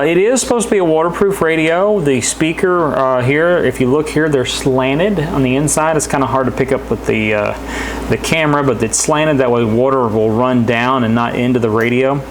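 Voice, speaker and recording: A man giving a casual, unscripted product demonstration indoors, speaking in a halting, hesitant, plain-spoken way. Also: mid-range voice, noisy background. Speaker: product reviewer. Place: indoors in a house.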